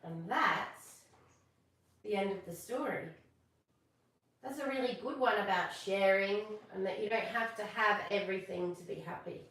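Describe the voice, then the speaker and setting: A woman hosting a casual live storytime, chatting and reading aloud in a playful, expressive manner for children. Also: medium pitch, a little hiss or hum. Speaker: live stream storytelling host. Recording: very echoey room, distant from mic.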